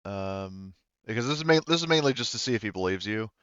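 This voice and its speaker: Low-voiced man, halting game master. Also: expressive, fairly bright tone, smooth, no echo, lo-fi sound. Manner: halting, hesitant. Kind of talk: casual recap and narration of a tabletop roleplaying game.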